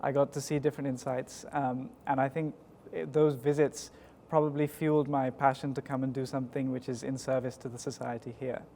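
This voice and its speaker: A man's voice: mid-range voice, flat delivery, a little fast, gravelly, hardly any pauses, dark tone. Young southern English man, echoey hall. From United Kingdom. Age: twenties. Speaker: school executive, interview guest. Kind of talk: measured interview answers. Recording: echoey hall with background noise.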